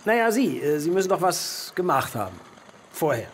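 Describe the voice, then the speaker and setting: An actor in a radio play playing an impatient, flippant heavenly clerk, sarcastic and colloquial. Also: male voice, fairly high pitch, very animated, normal speed, slight background noise.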